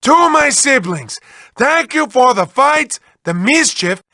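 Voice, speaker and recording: A man's voice: very high-pitched, sing-song, takes it slowly, sharp tone, no room sound, studio quality, heavily acted. A man voicing a manic, lovelorn, whiny mad-scientist villain in a video game, with theatrical, exaggerated delivery that swings between cooing and wailing. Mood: theatrical, sarcastic. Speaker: video game villain reading developer messages. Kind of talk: exaggerated comic cartoon voice acting.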